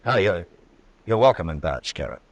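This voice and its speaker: Old west lawman, real deep n husky, steady, few words, old radio show. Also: moderate pace, no room sound, lo-fi sound. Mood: guarded, casual. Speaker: radio drama actor. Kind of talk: old-time radio western acting. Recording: vintage radio drama recording.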